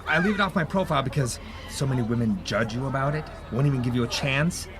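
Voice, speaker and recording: An actor playing an awkward, harried, overeager divorced dad on a date in a radio play. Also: male voice, mid-range voice, fast talker, noisy background, natural voice. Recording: animal noises behind the voice. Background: zoo animal ambience.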